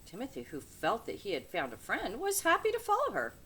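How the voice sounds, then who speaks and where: A woman reading a children's picture book aloud with dramatic, deliberate phrasing, speaking to young listeners. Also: on the high side. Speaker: read-aloud storyteller. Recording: steady background noise.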